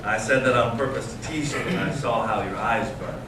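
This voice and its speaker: A man playing a bitter, intense young intellectual brother, reading deliberately and earnestly in a staged reading. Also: lowish voice, lightly acted. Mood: challenging. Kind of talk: staged readers theater reading. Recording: echoey room, distant mic, noisy amateur recording.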